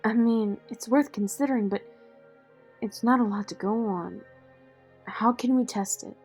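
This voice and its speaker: A woman's voice: on the high side, a little slow, a little mellow, breathy edge. A woman acting a loyal, no-nonsense ally of a vigilante in an audio drama. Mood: concerned, probing. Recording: faint music behind the voice.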